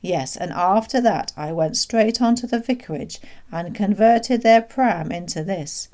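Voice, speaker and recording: Lady reading as a sly proud dad in a kids story, cheery n confiding, soft mellow tone. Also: mid-range voice, measured pace, lightly acted. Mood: happy, boastful. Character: proud, sly father, keen country schemer. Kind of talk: children's storybook read aloud.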